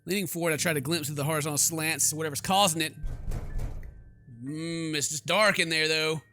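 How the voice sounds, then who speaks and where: Bright-voiced sarcastic man doing gaming commentary. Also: a little high, brisk, soft background music. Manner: sarcastic, animated when incredulous. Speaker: gaming video host. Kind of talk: let's play commentary, reading game text aloud.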